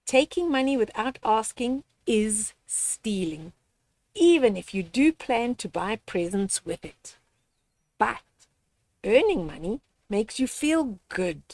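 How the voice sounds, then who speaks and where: Slow, high, lively woman storyteller. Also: no room sound. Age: older. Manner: gentle didactic, addressing young children directly. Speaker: storyteller reading children's books. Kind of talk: read-aloud picture book with moral commentary.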